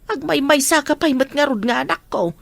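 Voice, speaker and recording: A woman's voice: sits high, a little fast, creaky, dry recording. An actress with an Ilocano accent playing a worried, nagging middle-aged mother in a radio drama, pleading and fretful.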